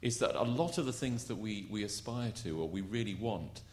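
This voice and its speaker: Man, low pitch, candid delegate, musing. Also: lively intonation, a little fast, darkish tone. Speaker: conference delegate.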